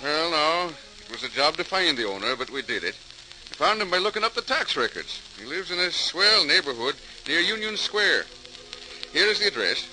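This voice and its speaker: A man acting in a 1940s radio drama as a formal, measured official. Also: a little high, big pitch swings, rough recording. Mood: matter-of-fact. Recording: old radio recording with faint noise.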